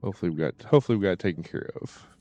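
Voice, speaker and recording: A man live streaming and chatting casually to viewers, halting and often repeating his phrases. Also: low voice, expressive, warm tone, soft background music.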